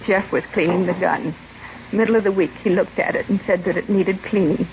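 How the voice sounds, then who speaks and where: An American woman acting the part of a grieving, resigned mother in her late forties, subdued and halting, in a 1950s radio drama. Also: mid-range voice, steady tone, lightly acted. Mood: shaken, grieving. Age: middle-aged. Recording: dull, noisy vintage radio recording.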